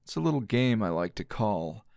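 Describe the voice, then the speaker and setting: A man's voice: on the low side, very animated, moderate pace, gravelly, darkish tone, smooth, no room sound, studio quality. A man playing a teasing, dominant, seasoned anime hero as a tender lover in an intimate ASMR roleplay, murmuring breathily and slipping into whispers. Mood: teasing, commanding.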